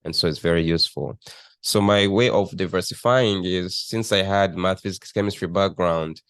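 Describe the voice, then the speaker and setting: Deep-voiced young man from Rwanda. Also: a bit bright, clear, resonant tone, no room sound. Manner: thoughtful, halting, with frequent restarts and fillers. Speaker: guest, student entrepreneur. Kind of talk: conversational interview.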